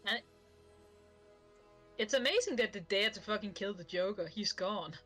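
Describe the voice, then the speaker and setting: A woman's voice: medium pitch, expressive, normal speed, bright, forceful tone, faint music behind. A woman from Denmark with a Danish accent, chatting enthusiastically as a stream guest. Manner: enthusiastic and chatty, swears casually. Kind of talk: casual chat.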